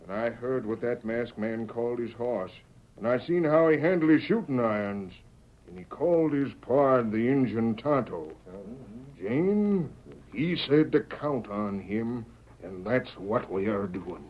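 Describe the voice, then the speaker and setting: A man's voice: medium pitch, lively intonation, a little slow. An American actor playing a stubborn, defiant former sheriff and father in a radio Western, with folksy rustic speech. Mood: earnest, resolute. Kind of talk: 1940s radio Western acting. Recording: dull old radio recording.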